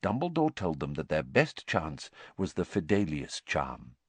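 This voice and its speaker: Man playing a pompous middle-aged minister, blustering, fussy, storybook character, animated pitch. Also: normal speed, dry recording. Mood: confiding.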